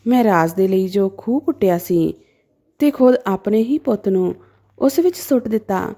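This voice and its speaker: A woman's voice: mid-range voice, expressive, normal speed, dark tone. A woman narrating a dramatic story in the first person as a storyteller.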